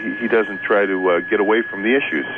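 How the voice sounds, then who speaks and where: A man calling in to talk radio, chatting about politics in a halting, repetitive and emphatic way. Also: fairly low pitch, steady tone. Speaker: radio call-in guest. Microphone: telephone. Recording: tinny phone line, noisy hum, radio aircheck.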